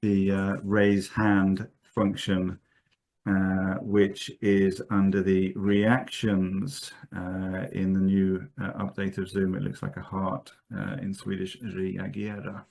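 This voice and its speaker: A man moderating an online conference session, informal and halting as he gives instructions and hands over to speakers. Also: low-pitched, completely flat delivery, gravelly, a little mellow. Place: online video call.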